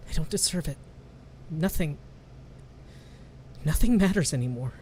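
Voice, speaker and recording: A man's voice: on the high side, lively intonation, a little slow, a little mellow, a little hiss or hum. A man voicing a sad, despairing young orphan girl in a storybook reading. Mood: pleading.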